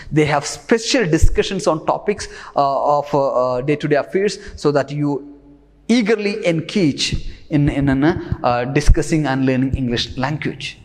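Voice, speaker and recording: A man in his thirties giving a recorded English lecture in front of a screen, gesturing a lot and pausing with many fillers, on a lapel mic. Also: a little high, long reverb tail. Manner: animated, gesturing with both hands, many fillers. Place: studio in front of a large presentation screen.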